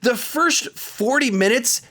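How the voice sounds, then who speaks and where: A man's voice: extremely high pitch, lively intonation, slow, bright, forceful tone. Candid man reviewing movies. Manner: candid, opinionated, conversational. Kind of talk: casual ranking commentary.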